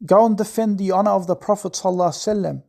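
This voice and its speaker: Scolding man, mellow voice. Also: high voice, fairly even intonation, racing, creaky. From Australia. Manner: scolding, preachy, keeps asking "right?". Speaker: commentator. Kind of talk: impassioned monologue.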